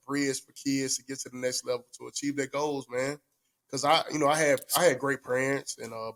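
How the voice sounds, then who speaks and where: A man's voice: medium pitch, fairly bright tone. A young man, a retired football player chatting casually and slangily, dropping "man" often. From southern United States. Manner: slangy, laid-back, says "man" a lot. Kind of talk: casual chat.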